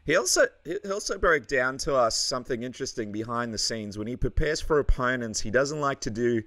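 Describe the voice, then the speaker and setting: A man's voice: medium pitch, sing-song, a little fast, fairly bright tone, no room sound. A man, co-hosting a casual interview on a close studio mic. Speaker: radio show co-host, interviewer. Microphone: close studio microphone with headset.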